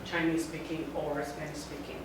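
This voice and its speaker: Halting woman speaker in a very echoey room. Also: low-pitched, little pitch movement. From Taiwan. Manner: halting, often restarting phrases. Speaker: guest speaker. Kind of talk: informal talk to a student group. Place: lecture room. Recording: very echoey room, mic far off, background noise.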